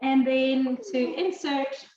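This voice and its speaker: A woman walks through a recipe step by step on a video call, calm and matter-of-fact. Her voice is high. The sound comes through dull and echoey, with faint noise behind it. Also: slow. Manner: relaxed, follows steps aloud. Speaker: Thermomix consultant demonstrating.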